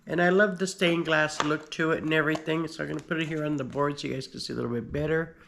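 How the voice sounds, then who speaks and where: A man's voice: on the high side, steady tone, fast, vocal fry, background noise. A man with a slight cold and a slightly nasal voice, talking through his collection in a casual show-and-tell, rambling with many fillers and restarts. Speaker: pin collector.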